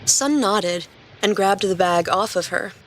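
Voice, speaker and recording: A woman's voice: medium pitch, a little slow, a bit bright, poor audio. Woman reading stories, faint noise behind. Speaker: podcast host and story reader. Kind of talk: casual hosting and scripted story narration.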